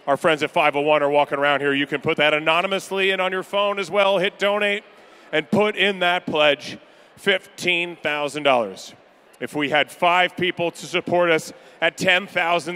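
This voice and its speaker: A man calling pledges at a charity gala in a banquet room, energetic and upbeat with rapid-fire banter to the crowd. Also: on the high side, clean tone. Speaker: charity auctioneer. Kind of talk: live fundraising pledge calling.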